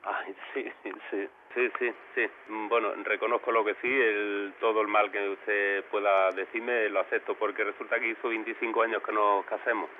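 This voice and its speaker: A man's voice: fairly low pitch, level tone, normal speed, hardly any pauses. A middle-aged man with an accent from Spain, talking spontaneously on a phone call. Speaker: prank call victim. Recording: tinny phone line, faint hiss.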